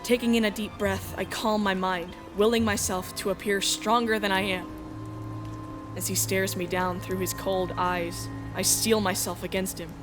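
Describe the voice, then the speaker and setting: A woman's voice: mid-range voice, level tone, moderate pace, natural voice. A woman acting a young runaway heroine in a space adventure fan dub, anguished and defiant, with dramatic, emotional delivery. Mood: tense, reflective. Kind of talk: dramatic first-person narration of a visual novel. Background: music.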